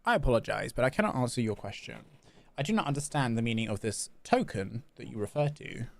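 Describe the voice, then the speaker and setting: Man reading as a creaky, formal clockwork robot. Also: medium pitch, lively intonation, fast talker. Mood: precise, deferential. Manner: stiff, formal, deferential. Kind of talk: reading game dialogue aloud.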